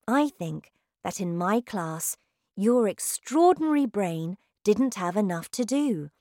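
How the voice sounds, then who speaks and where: A woman voicing a kind schoolteacher who speaks softly and gently. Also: medium pitch, very animated, slow pace, no room sound, clean studio sound. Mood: gentle. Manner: soft, gentle, reassuring.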